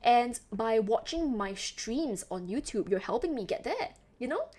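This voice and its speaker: A young woman from Singapore with a softened Singaporean accent, chatting casually with her stream chat, rambling with lots of fillers and laughs. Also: on the high side, expressive, unhurried. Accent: Singaporean, softened toward Western English.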